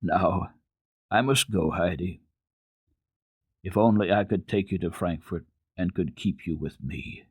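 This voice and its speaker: A man voicing a tender-hearted, kindly gentleman doctor in a children's storybook reading, gentle, soothing and earnest. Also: low-pitched, a little slow, pauses often, breathy edge, no room sound, clean studio sound. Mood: wistful, tender.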